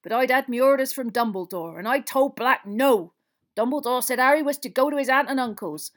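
A woman voicing a gruff, loyal giant man in a storybook, with a growling, rough and folksy manner. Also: high voice, brisk, voice pushed up, natural voice. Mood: indignant.

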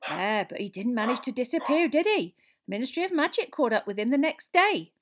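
A forthright pub landlady performed by a woman storyteller in a storybook. She is nosy and gossipy, with lively, higher-pitched speech. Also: fairly quick, background noise. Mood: satisfied.

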